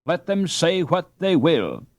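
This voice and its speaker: A male reader voicing a playful, animated young girl in a storybook reading. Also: on the high side, slow pace, no room sound, voice pushed up. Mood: mock-solemn. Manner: sing-song, mock-solemn.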